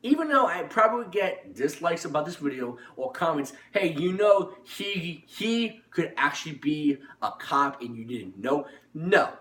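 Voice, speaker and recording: A man's voice: on the high side, very animated, unhurried, hardly any pauses, fairly bright tone. A man telling a personal story informally to his viewers, rambling and insistent, often repeating himself and restarting phrases. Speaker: storytelling YouTuber. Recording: echoey room, distant microphone.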